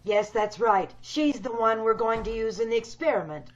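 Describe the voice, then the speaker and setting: High-voiced, sinister scheming woman, acted for radio. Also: lightly acted. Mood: smooth, scheming. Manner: measured, coolly polite menace. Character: sinister, hideous woman scheming to steal beauty. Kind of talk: old-time radio horror acting. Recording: dull video-call radio play recording.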